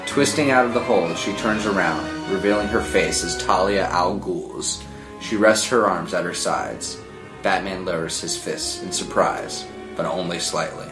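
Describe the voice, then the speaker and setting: A man's voice: low voice, normal speed. A man narrating screenplay stage directions as scripted narration, with film-score music behind him. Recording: echoey room with music under the voice.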